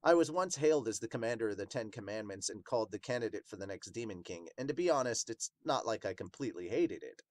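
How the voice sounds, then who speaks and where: A man's voice: lowish voice, lively intonation, quick pace, hardly any pauses, dry recording, close to an everyday voice. A man voicing a reserved former demon commander in a manga dub, speaking in an understated, serious way. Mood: reflective, somber. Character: male captain, laconic, reserved older brother and former commander.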